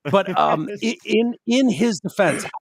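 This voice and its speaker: A man's voice: high voice, expressive, measured pace, vocal fry, dry recording, studio quality. A man hosting a podcast, chatting casually in a blunt, profane and emphatic way. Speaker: podcast host.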